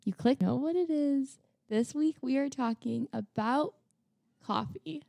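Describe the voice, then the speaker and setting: A woman co-hosting a podcast in relaxed, rambling morning chat, still a little groggy and full of filler words. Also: high-pitched, a little slow, gravelly, no echo. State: just waking up, not yet clear-headed. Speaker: podcast co-host. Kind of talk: casual chat.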